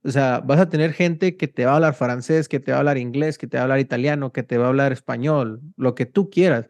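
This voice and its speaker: A young man from Mexico, hosting a casual interview and telling stories in a slangy, animated way. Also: mid-range voice, fast talker, no room sound. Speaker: podcast host.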